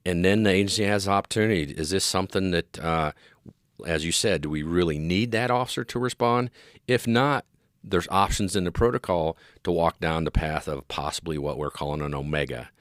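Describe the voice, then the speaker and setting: Middle-aged American male interviewee, extremely low voice, earnest expert explainer, close dry recording. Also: brisk, no echo. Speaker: interview guest, police dispatch specialist and former officer.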